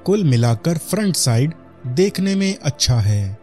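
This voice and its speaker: A man's voice: on the high side, big pitch swings, measured pace, warm tone, soft background music. A man with an Indian accent giving a product demonstration voice-over as a reviewer.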